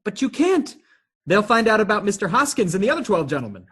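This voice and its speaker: Man as harried nephew, husky voice, swooping animated pitch, flustered, stammering, urgent, radio comedy. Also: fast, exaggerated voice. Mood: exasperated. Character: frantic, exasperated young nephew. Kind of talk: radio play acting.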